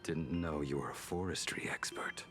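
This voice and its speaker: A very deep, stoic game warrior. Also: male voice, normal speed. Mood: grave, restrained. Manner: terse, restrained, resigned. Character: stoic, blunt, resolute man, a sparing-worded swordsman. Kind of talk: scripted video game voice acting.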